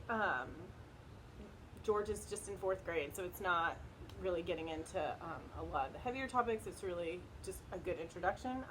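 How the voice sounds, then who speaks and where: A woman's voice: mid-range voice, poor audio. A middle-aged woman recommending books casually as a co-host, speaking informally and hesitantly outdoors. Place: outdoors in wind. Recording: wind noise on the microphone.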